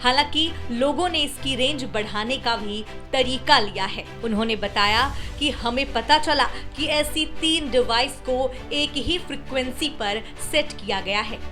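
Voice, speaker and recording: High female news voice-over with music. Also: a little slow, few pauses. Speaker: news report narrator. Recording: clear music bed under the voice. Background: background music.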